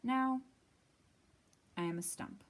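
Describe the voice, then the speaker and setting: A woman hosting a library storytime, reading a picture book aloud expressively with deliberate, dramatic pauses. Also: a little high.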